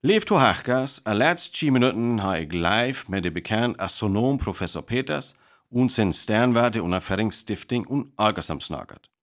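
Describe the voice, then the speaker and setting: A man with a North Frisian accent playing an earnest live radio reporter in a radio play, announcing formally and deliberately. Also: on the low side, animated. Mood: serious, formal.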